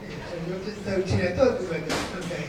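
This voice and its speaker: A man moderating a town meeting, reading questions aloud in a halting, hesitant way. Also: high-pitched. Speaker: forum moderator. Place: meeting room. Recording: very echoey room, far from mic, noisy.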